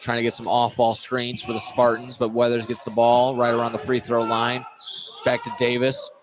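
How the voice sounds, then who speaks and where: A man's voice: mid-range voice, completely flat delivery, normal speed. A man doing live play-by-play radio commentary of a basketball game. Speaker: play-by-play announcer. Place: indoor basketball game, gym noise behind. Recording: noisy live gym ambience.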